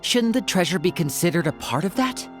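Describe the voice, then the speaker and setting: A woman voicing a wary, low-voiced female fighter in a read-aloud game story, talking in a cautious, questioning way. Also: animated, brisk, soft background music, natural voice.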